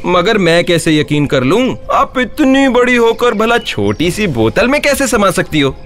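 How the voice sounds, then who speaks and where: A man voicing a clever, fearless, polite young villager in an animated Hindi story. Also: high voice, a little slow, pitched above the performer's own voice, full character voice. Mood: sly, polite.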